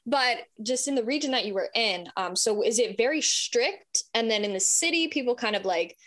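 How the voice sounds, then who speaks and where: A woman's voice: on the high side, expressive, moderate pace, fairly bright tone. An American woman hosting an interview, asking curious, chatty questions with lots of fillers. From United States. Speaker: podcast host. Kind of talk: casual interview.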